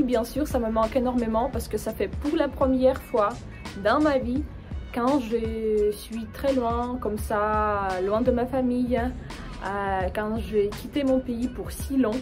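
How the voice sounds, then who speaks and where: A woman's voice: fairly high pitch, unhurried. Woman chatting outdoors over music. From Ukraine. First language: Russian. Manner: hesitant, many fillers and restarts, friendly. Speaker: French teacher and video creator. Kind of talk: casual personal chat to camera. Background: background music.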